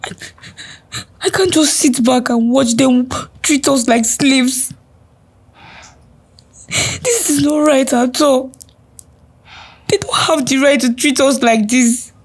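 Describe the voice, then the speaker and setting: A woman's voice: high voice, slow pace, long pauses, breathy edge, exaggerated voice. A woman playing a stubborn, mistreated young woman who is crying and pleading. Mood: tearful. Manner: tearful, pleading. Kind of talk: web drama acting.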